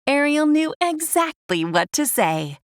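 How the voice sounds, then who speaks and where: A woman narrates a children's read-along with expressive delivery. Her voice sits fairly high and moves animatedly up and down. The sound is dry, with little room in it. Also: a little slow, dry recording. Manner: expressive storytelling. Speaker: storybook reader.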